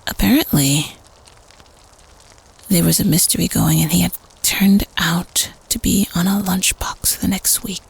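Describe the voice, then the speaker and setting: A woman playing a teenage prep-school student telling a spooky campfire story casually in an audio roleplay, conspiratorial and at times hushed. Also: fairly low pitch, steady tone, unhurried, gravelly, breathy edge, exaggerated voice, rough recording. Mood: eerie, suspenseful.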